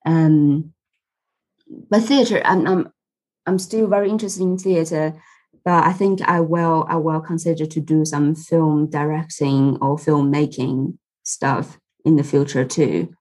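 Young chinese woman, mandarin native, lowish voice, stumbles and repeats words on a video call from home. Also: steady tone, darkish tone, poor audio. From China. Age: 20s. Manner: halting, repeats words, laughs often. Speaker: guest. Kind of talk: casual interview chat. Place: home room with a cat.

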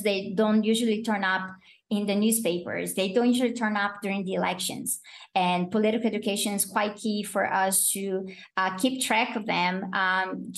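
A woman's voice: medium pitch, rough recording. A woman from Brazil with a Brazilian accent, a guest in a casual interview who talks thoughtfully, searches for words and laughs easily. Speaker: guest, academic and YouTuber.

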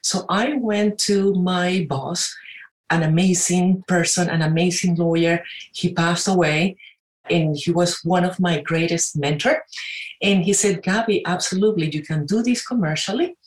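A woman's voice: fairly low pitch, a little slow, echoey room, low-quality recording. A woman from Venezuela, a guest entrepreneur telling her story in a chatty way with many "right?" tags. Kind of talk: interview storytelling.

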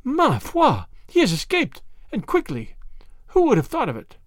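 A man voicing a quick-witted young girl in an audiobook, with an urgent, secretive manner. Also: extremely high pitch, wildly swooping pitch, no echo, studio quality, pitched above the performer's own voice, exaggerated voice. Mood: theatrically alarmed. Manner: hushed urgency, rising to theatrical French exclamations.

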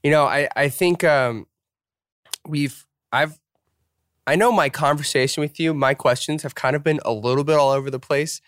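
A young male podcast host asks questions in a casual, upbeat way, peppering his speech with fillers. His voice is smooth and resonant at a middle pitch. The sound is close and dry. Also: normal speed, fairly bright tone, no echo. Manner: upbeat, filler-heavy, self-deprecating. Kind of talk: casual interview chat.

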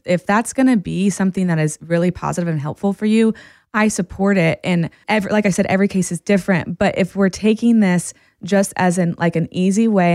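A woman in her thirties with a slightly low voice makes earnest, encouraging points in a podcast chat. She often speeds up when she explains something. The recording is dry and close. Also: darkish tone, no room sound. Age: 30s. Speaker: podcast host. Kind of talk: casual podcast conversation.

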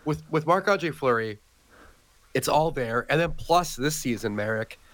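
A man with a hoarse voice from a cold, co-hosting a podcast in casual chat with many fillers. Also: mid-range voice, expressive, a little slow, a bit bright, no echo. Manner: chatty, joking, many "like" fillers. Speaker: podcast co-host.

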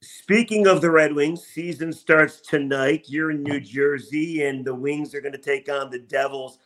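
A man's voice: on the high side, steady tone, unhurried, fairly bright tone, poor audio. A man hosting a sports podcast, talking and asking questions in an enthusiastic, emphatic way. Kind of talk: upbeat sports talk and interviewing.

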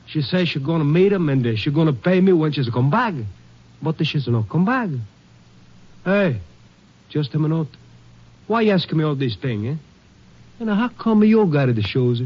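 A garrulous immigrant shopkeeper in an old radio play. His voice sits fairly high, with a foreign accent and insistent broken English. The vintage recording is muffled. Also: big pitch swings, moderate pace, pauses often. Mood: suspicious. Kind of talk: 1950s radio drama acting.

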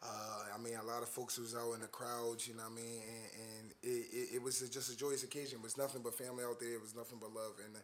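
A young man co-hosting a casual chat show, loud and full of repeated words and fillers. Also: medium pitch, flat delivery, quick pace, few pauses, slight background noise. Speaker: podcast co-host.

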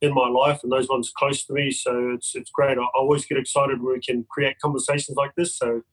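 Husky upbeat man with a New Zealand accent. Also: medium pitch, little pitch movement, a little fast, a bit bright, low-quality recording. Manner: upbeat, heartfelt, laughs easily. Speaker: podcast guest. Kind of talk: casual conversational interview.

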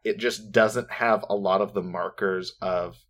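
A man with a low voice and a General American accent talks loosely as a podcast co-host. He is excitable, drifts into asides and swears without a second thought. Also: measured pace. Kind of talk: casual podcast chat.

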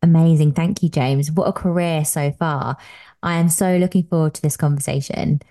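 A woman's voice: low voice, fairly even intonation, normal speed, dark tone. A woman hosting a podcast, reading an upbeat scripted introduction and then chatting with her guest. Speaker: podcast host, dietitian.

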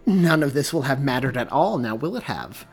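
A man's voice: medium pitch, animated, normal speed, soft background music, close to an everyday voice. A man voicing a stern, scornful old woman, a commanding theater director in an audiobook, with clipped delivery. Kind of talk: audiobook character dialogue.

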